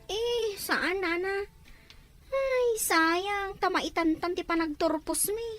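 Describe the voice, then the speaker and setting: A woman's voice: very high voice, slow, a bit bright, soft background music, full character voice. A woman playing a young Filipino student daughter in a radio drama, pleading plaintively with an Ilocano accent. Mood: downcast.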